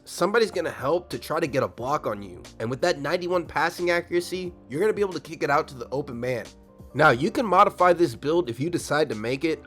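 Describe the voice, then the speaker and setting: A young man with a slightly raspy voice from a cold, casually breaking down a video game build for his viewers. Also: fairly high pitch, fairly bright tone. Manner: fast, casual, addresses viewers directly. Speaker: gaming YouTuber. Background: faint background music.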